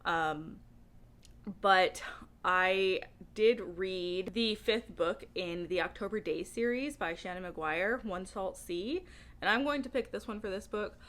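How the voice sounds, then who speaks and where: A woman talking casually to camera as a book vlogger, with an animated, emphatic way of speaking. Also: medium pitch, slow.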